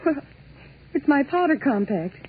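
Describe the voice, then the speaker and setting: A genteel young wife, tinny radio. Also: female voice, high voice, very animated, unhurried. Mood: light, cheerful. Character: poised, affectionate young wife of older conductor. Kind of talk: 1940s radio drama acting. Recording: tinny narrow-band 1940s radio, hiss.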